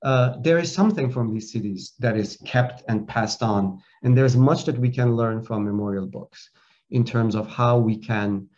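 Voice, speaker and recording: Middle-aged male panelist, earnest lecturing with halting fillers, video call sound. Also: medium pitch, darkish tone. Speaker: conference panelist. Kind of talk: academic conference talk. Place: home office with curtains. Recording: muffled video call audio.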